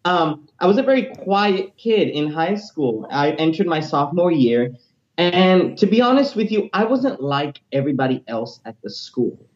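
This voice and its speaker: Guy in his late 20s, high tenor voice, animated and energetic, kinda muffled audio. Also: normal speed. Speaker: interview guest, teacher and music minister. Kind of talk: personal testimony interview.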